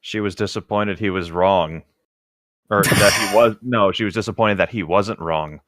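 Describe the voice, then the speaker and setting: A man with a low-leaning voice reads aloud in a relaxed, casual style. He drops in dry, teasing remarks, and the sound is tight with hardly any room on it. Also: moderate pace, dry recording. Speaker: co-host of a comedic read-along. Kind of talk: casual reading aloud with offhand commentary.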